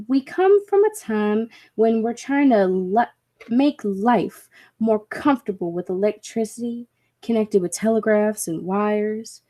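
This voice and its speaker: A woman's voice: mid-range voice, dark tone. A woman playing a skeptical, intense professor of science, speaking earnestly and emphatically in a 1940s-style radio play. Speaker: amateur drama club actor.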